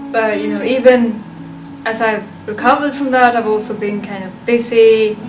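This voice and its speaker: A woman's voice: a little high, fairly even intonation, measured pace, over music, rough recording. A young woman talking casually and chattily to her viewers as a video reviewer, giving commentary on a list. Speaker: YouTube reviewer.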